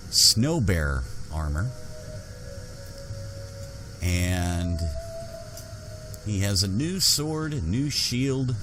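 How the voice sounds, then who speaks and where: A man hosting a gaming roleplay playthrough, narrating in an unhurried way with pauses and musing hums. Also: deep voice. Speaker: gaming channel host. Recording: music under the voice. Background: game music.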